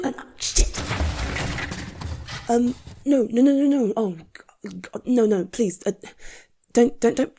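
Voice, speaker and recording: Woman acting a breathy, nervous librarian in audio roleplay. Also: on the high side, mellow, pitched above the performer's own voice, exaggerated voice. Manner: hesitant, stammering, muttering to herself. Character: flustered, jumpy young female librarian alone at closing time. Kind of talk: intimate first-person audio roleplay.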